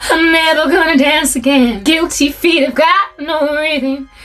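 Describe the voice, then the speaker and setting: A woman's voice: sits high, fairly even intonation, unhurried, a bit bright. Woman belting songs over faint music. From South Africa. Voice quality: big voice. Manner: belts songs then chats with laughs. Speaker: vlogger. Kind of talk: singing along and chatting to camera.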